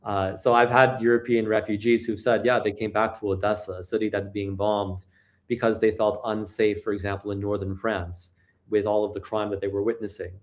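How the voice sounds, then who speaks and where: A Canadian man answers interview questions as a guest. His voice is low, and he speaks in a measured way, hedging his points as he goes. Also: level tone.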